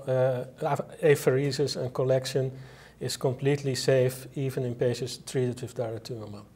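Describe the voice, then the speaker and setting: Measured middle-aged Dutch-accented man. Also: mid-range voice, darkish tone. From Netherlands. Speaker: medical expert panelist, doctor. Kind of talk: panel interview discussion. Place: indoor conference interview set.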